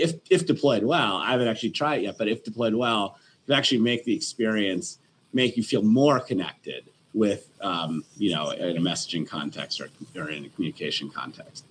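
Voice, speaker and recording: A man's voice: mid-range voice, slightly rough. A man on a panel discussion as an investor, talking with many restarts and fillers.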